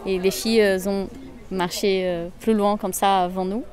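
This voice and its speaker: A woman's voice: medium pitch, steady tone, moderate pace, darkish tone. A woman from Brazil with a Brazilian accent, a Portuguese native speaker, telling a story and searching for words. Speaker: interviewee.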